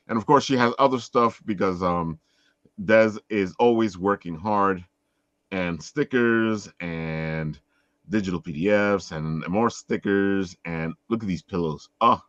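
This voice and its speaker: A man's voice: low-pitched, takes it slowly, fairly bright tone, no echo. A man hosting a casual livestream chat in a rambling, informal way, prone to giggling, over slightly dull lo-fi call audio. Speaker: host. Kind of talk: casual livestream chat promoting crowdfunding projects.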